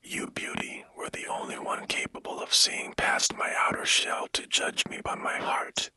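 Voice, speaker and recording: A man voicing a loving young storybook prince in a soft, solemn, breathy whisper. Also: unhurried, no echo. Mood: grateful, solemn.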